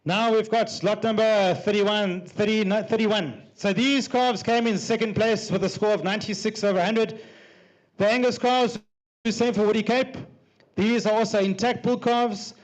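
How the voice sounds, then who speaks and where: A man calling bids as a livestock auctioneer in a rapid, repetitive, rhythmic chant. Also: high voice, fairly even intonation, low-quality recording.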